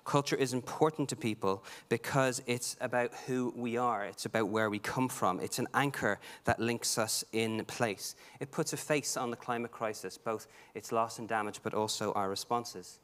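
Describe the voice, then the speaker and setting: A man's voice: mid-range voice, steady tone, normal speed, few pauses, fairly bright tone. A man with a Dublin Irish accent, giving an earnest prepared talk at a conference, with frequent ums and restarts. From Ireland. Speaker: conference speaker. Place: large conference hall.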